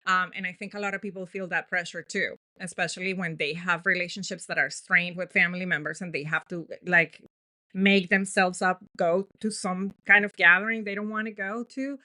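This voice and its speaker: A woman from Colombia speaks as a therapist in a relaxed podcast chat. Her voice is bright and sits fairly low. She talks in a chatty, emphatic way and laughs often. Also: fairly quick. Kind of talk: casual podcast conversation.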